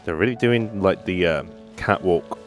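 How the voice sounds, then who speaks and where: A woman's voice: very deep voice, a little slow, music in the background. A woman giving casual let's play commentary with short, agreeable reactions. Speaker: gaming video host.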